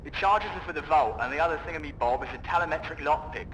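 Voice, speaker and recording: Male mission handler for a spy game, brisk and helpful, higher-pitched, noisy vehicle ambience behind. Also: animated, a bit bright, lo-fi sound. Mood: brisk, matter-of-fact. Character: brisk helpful male tech support agent guiding a spy. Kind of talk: scripted game voice acting, radio briefing.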